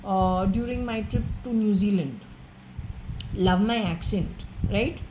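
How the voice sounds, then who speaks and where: A woman's voice: medium pitch, takes it slowly, noisy background. A woman with an Indian accent, giving a solo spiritual reading and speaking earnestly to an unseen spirit. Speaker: tarot and occult reader.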